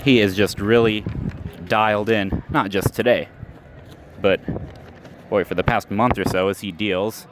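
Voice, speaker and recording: A man with a fairly low voice calls a baseball game for radio, working through counts and stats in a steady, measured way. The live recording carries clear ballpark noise from the stands and the street outside. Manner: steady, measured call with stats between pitches, rising sharply on big plays. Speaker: play-by-play announcer. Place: outdoor ballpark.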